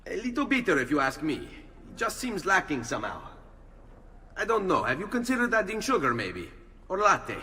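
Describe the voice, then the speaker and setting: A man's voice: high voice, wildly swooping pitch, normal speed, pushed and bright, a little hiss or hum, exaggerated voice. An actor playing a charming, playful young Italian nobleman assassin in a video game, suave and wry, with an Italian accent. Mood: wry, curious.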